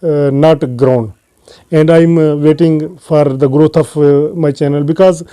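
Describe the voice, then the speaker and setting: A man with a Pakistani accent hosting an English-learning channel, talking casually to camera and pausing often on "uh" fillers. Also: a little high, fairly even intonation, mellow, clear, resonant tone. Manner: hesitant, frequent "uh" fillers between phrases. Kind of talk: casual unscripted talk to camera.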